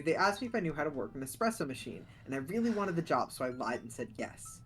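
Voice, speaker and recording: A man voicing a dry, sarcastic teenage daughter in a video game, with a flat deadpan delivery. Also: on the high side, voice pushed down, close to an everyday voice.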